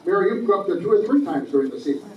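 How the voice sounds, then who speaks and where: A man's voice: sits high, expressive, slight background noise. Man rambling through announcements in an echoey hall. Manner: halting, many fillers and restarts. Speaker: society president. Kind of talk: informal meeting announcements. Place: meeting hall. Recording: echoey, distant, muffled room recording.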